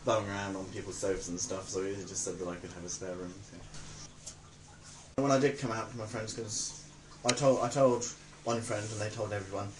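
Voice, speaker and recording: A man's voice: lowish voice, steady tone, moderate pace, distant mic. A nineteen-year-old man with a southern English accent, talking informally and a little hesitantly in an interview. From Reading, England. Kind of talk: casual documentary interview. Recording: roomy echo, faint background noise.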